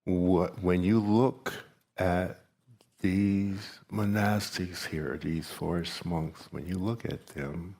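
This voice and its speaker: Older man in his 70s, Gullah native speaker, very deep, husky, slow halting delivery, meditation hall talk. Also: steady tone, a little mellow. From South Carolina coast, United States. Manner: slow, halting, with long pauses. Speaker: meditation teacher. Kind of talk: retreat teaching talk.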